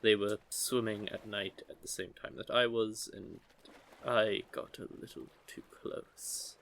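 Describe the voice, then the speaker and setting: Man voicing a slow-talking, curious young merman. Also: on the low side, fairly even intonation, vocal fry, slight background noise. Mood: earnest, flustered. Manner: slow, measured, gently formal, teasing. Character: curious, teasing, sheltered young merman, nearly a hundred. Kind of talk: scripted audio roleplay, intimate one-sided conversation.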